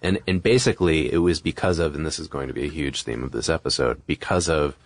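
Very low-voiced man, casual podcast talk. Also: quick pace, dry recording. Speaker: podcast co-host. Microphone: close studio microphone.

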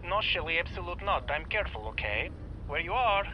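A jittery foreign informant. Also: male voice, high voice, very animated, brisk, muffled recording, slight background noise. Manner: broken, ungrammatical English, jittery and defensive. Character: nervous foreign male informant, broken English. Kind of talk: comedic audio drama.

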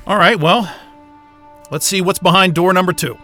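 An actor playing an irreverent, wisecracking astrophysicist in a sci-fi audio drama, with a sarcastic, informal and exasperated way of talking. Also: male voice, high voice, moderate pace, bright, forceful tone, soft background music. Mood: agreeable. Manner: sarcastic, informal, hesitant muttering and outbursts.

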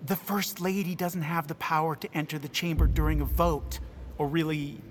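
An actor playing an officious, skeptical Senate doorkeeper in an audio drama, somewhat hesitant. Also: male voice, a little high, fairly even intonation, moderate pace, a little hiss or hum, close to an everyday voice.

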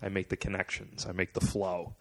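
A man's voice: deep voice, monotone, brisk, a bit bright. A young man co-hosting a podcast, joking with friends in a teasing, playfully boastful way. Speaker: podcast co-host. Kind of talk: casual banter among friends.

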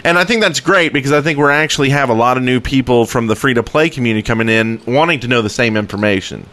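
A man's voice: medium pitch, quick pace, fairly bright tone. A man hosting a podcast, chatting casually about gaming with others. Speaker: podcast host.

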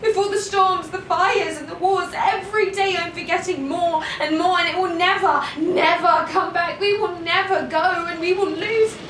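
A woman's voice: extremely high pitch, steady tone, moderate pace, hardly any pauses, fairly bright tone. A woman playing a despairing, worn-out character in an audio drama, anguished, with repetitive, breaking phrases. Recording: echoey, distant room with faint noise.

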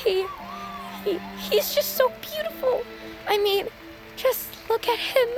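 A woman's voice: very high-pitched, expressive, slow pace, music in the background, heavily acted. An actress playing a lovestruck, gushing teenage fangirl in an audio drama. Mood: swooning.

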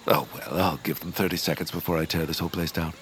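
An actor playing a greedy, scheming land baron with a pompous, theatrical, drawn-out delivery in a comic audio drama. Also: male voice, extremely low pitch, fairly even intonation, a little hiss or hum. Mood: mock wistful.

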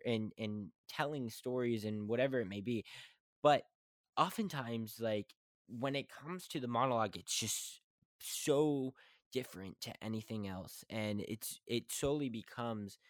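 A young man hosting a podcast, talking casually about acting and monologues, fast and often stumbling and restarting his sentences. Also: medium pitch, dry recording, studio quality. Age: young adult. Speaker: podcast host.

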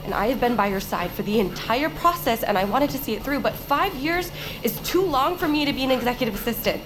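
An actress playing a dutiful, restrained executive assistant with a composed, guarded, polite manner in a sci-fi thriller audio drama. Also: female voice, a little high, lively intonation, fairly quick, over music, close to an everyday voice. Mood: tense resolve.

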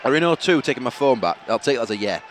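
A man's voice: mid-range voice, very animated, rapid-fire, a little hiss or hum. A young man with a Yorkshire accent co-hosting a fan podcast, chatty and giggly, recorded at a football ground. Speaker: podcast co-host. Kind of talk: casual banter. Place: outdoors in football stadium stands.

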